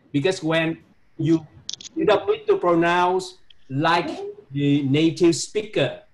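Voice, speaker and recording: A man with a Vietnamese accent giving halting, repetitive classroom feedback on pronunciation, often tagging phrases with a questioning "huh?". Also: fairly high pitch, rough recording. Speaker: medical English teacher.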